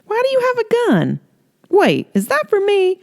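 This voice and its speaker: A woman's voice: very high-pitched, wildly swooping pitch, gravelly, dry recording, clean studio sound, pitched above the performer's own voice, exaggerated voice. A woman voicing a feisty, brash woman from a trailer park who loves pink and talks back sassily, in an audiobook reading. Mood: flirty. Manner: sassy, bold, quick to yell.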